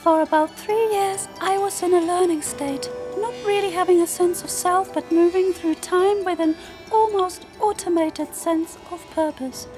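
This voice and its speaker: A woman playing a serene, lofty female moon spirit in an audio drama, speaking formally and solemnly over music. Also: extremely high pitch. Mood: solemn, confessional. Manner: formal, solemn, measured storytelling. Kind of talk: audio drama character monologue. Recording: music behind the voice.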